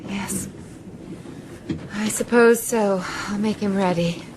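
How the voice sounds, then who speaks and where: An actress playing a genteel, emotional castaway, weary yet brave, with heartfelt delivery in a radio drama. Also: female voice, medium pitch, fairly even intonation, takes it slowly, background noise, lightly acted. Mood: hushed, grieving. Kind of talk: broadcast radio drama acting.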